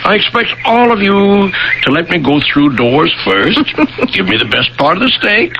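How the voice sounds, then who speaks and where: An actor playing a teasing, mock-pompous middle-aged professor and husband in a radio drama, droll and theatrical. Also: male voice, high-pitched, big pitch swings, a little slow, creaky, exaggerated voice. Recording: noisy, dull old radio broadcast.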